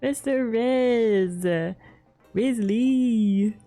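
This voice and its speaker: A woman's voice: on the high side, drawn-out words, dark tone. A woman streamer giving casual, playful and rambling live commentary while playing a game. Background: faint game music.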